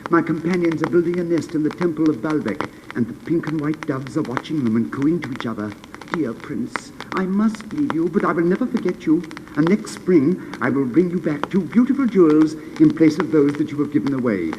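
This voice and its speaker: A man voicing a small, loyal swallow with a raised, excited, tender delivery in a storybook reading. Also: on the high side, fairly quick, pitched above the performer's own voice, close to an everyday voice. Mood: eager, tender. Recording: noisy recording with clicks.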